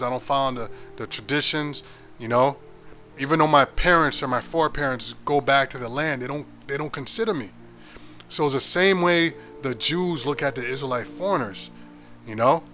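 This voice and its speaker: American man, informal Bible teacher; bright, forceful voice, emphatic, conversational, restarts often, faint music underneath. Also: medium pitch. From United States.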